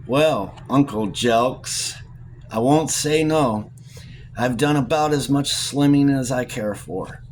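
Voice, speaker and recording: A man's voice: mid-range voice, takes it slowly, slight background noise, natural voice. A man voicing a plucky, hard-up young woman in an audiobook, chatty and cheerfully wry. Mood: playful, curious.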